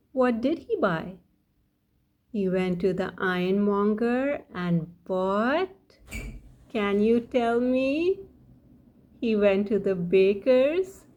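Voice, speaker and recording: Female children's storyteller, unhurried delivery, sing-song emphasis, talks straight to the young listener. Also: mid-range voice, mellow. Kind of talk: scripted story read aloud for young children, asking the listener questions.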